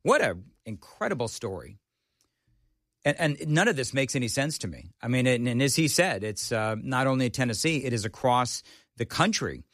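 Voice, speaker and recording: A man hosts talk radio in a loose, bantering way. His voice sits at an ordinary middle pitch and comes through very dry and close, with no room around it. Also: sing-song, normal speed, fairly bright tone, no room sound. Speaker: radio talk show host.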